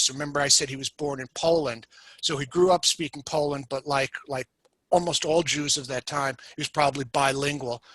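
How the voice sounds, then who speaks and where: A man from the United States talks through history as a podcast guest, laying out events in a measured, explanatory way. His voice sits a little high and rings bright and forceful. The sound is close and dry, with almost no room in it. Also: dry recording, rough recording. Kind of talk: storytelling history lecture in a podcast chat.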